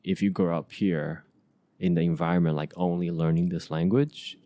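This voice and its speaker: Low-voiced halting man. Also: steady tone, mellow. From Asia. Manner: halting, many restarts and fillers. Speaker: podcast host. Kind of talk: casual conversation.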